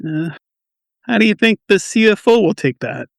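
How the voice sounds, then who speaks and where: A man's voice: sits high, expressive, normal speed, creaky, no echo, studio quality. A man playing a stressed, defensive quality assurance manager in a radio play, touchy and wry. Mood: wary. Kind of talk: amateur radio play dialogue.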